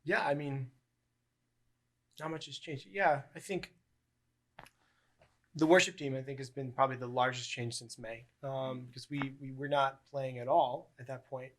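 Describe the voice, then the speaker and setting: A man in his early twenties, co-hosting a podcast, chatting casually and rambling with many pauses and ums. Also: mid-range voice, fairly even intonation, a little hiss or hum. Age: early 20s. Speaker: podcast co-host.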